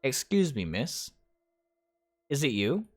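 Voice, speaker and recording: A man's voice: medium pitch, sing-song, takes it slowly. A man voicing a pushy, overfamiliar woman stalker in a visual novel, insistent and exclaiming. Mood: feigned surprise.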